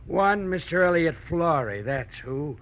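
An actor playing a shifty, hard-drinking American crook in 1940s radio style, rough and slangy. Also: male voice, fairly high pitch, lively intonation, unhurried. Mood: defensive, menacing. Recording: dull, muffled old radio recording.

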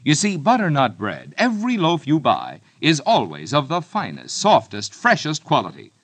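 Old radio ad guy, earnest pitchman, bouncy bright voice, dry. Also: huge pitch swings, moderate pace, husky, no room sound. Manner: earnest, emphatic sales pitch. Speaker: commercial announcer. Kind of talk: scripted radio ad read.

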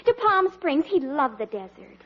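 On a muffled old radio recording, a young daughter in a family comedy. Her voice is extremely high, with an earnest, concerned delivery. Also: very animated, normal speed. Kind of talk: 1940s radio comedy acting.

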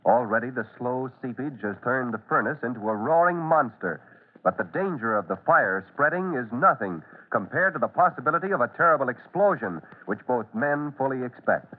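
A male American announcer delivers suspenseful, emphatic narration for a radio serial. His voice is mid-pitched. The sound is narrow and tinny, like a vintage broadcast. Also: animated, fairly quick. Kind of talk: dramatic old-time radio narration.

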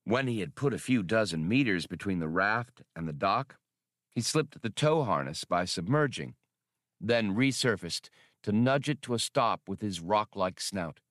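A man's voice: fairly low pitch, lively intonation, normal speed, fairly bright tone, no echo, clean studio sound. A man reading an adventure story as an audiobook narrator, in a scripted, dramatic style.

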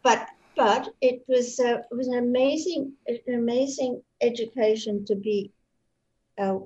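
An older Australian woman with a slightly high voice reminisces as an interview guest, wandering through her stories with fillers and restarts. Her voice comes over dull, lo-fi online call audio. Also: a little mellow. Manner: rambling storyteller with many fillers and restarts.

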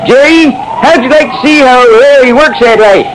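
An actor playing an excitable, folksy old seaside innkeeper in a classic radio drama, with clipped rustic phrasing. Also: male voice, extremely high pitch, normal speed. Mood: hearty, folksy. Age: older. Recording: noisy old radio broadcast.

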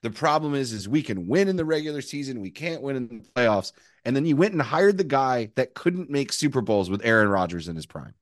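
A man with a hoarse, worn voice, co-hosting a casual sports podcast and needling his friend. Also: medium pitch, expressive, fairly quick, no echo, clean studio sound. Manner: teasing, needling his co-host. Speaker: podcast co-host.

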